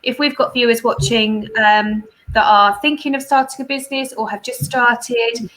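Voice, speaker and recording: A woman's voice: fairly high pitch, fairly even intonation, moderate pace, fairly bright tone, rough recording. A woman hosting an interview, asking a question and rephrasing it for viewers. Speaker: host.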